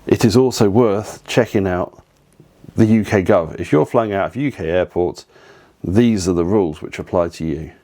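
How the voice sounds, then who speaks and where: A man talks through family travel tips in an easy, chatty way. His voice sits fairly low. He drifts into opinionated asides and pauses on plenty of ums. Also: warm tone. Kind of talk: casual advice talk to camera.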